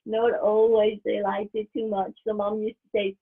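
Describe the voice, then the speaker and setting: A middle-aged woman whose native language is German, a medium speaking as an interview guest over a video call in a thoughtful, halting way, often restarting and laughing softly. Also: medium pitch, level tone, husky. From Switzerland. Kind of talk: casual interview. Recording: tinny video call line.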